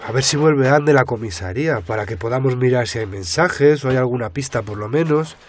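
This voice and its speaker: An actor playing an earnest, casual investigative journalist in a radio drama, colloquial and explanatory. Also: male voice, mid-range voice, a little fast, a little hiss or hum, lightly acted. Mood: concerned. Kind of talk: naturalistic Spanish radio drama dialogue.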